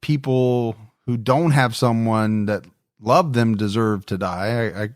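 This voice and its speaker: A man's voice: on the low side, unhurried, no room sound, studio quality. A man hosting a true-crime podcast in casual, earnest chat, often repeating words as he stresses a point. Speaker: podcast host.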